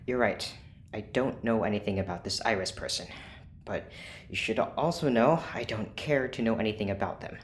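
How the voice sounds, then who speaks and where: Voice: mid-range voice, moderate pace, a little hiss or hum, lightly acted. An actor in an audio drama playing a cool, controlled scientist who speaks formally and precisely. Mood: firm, persuasive. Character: cool, clinical scientist doctor, controlled and persuasive. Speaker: scientist running experiments.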